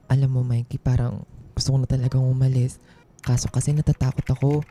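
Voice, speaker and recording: A man's voice: medium pitch, flat delivery, fast talker, warm tone, natural voice. A man playing a downtrodden, self-doubting office worker, plaintive and hurt, in a radio drama. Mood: hurt, despairing. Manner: plaintive, hurt, deferential to bosses.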